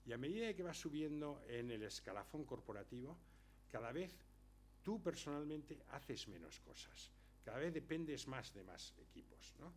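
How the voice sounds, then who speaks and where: A man's voice: medium pitch, very animated, moderate pace. Older guy, book author, telling stories to the crowd, chatty, keeps going "right?", a little noise behind. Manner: chatty anecdotal storyteller, lots of tag questions. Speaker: author presenting his book. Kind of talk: casual talk answering audience questions. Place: lecture hall before an audience.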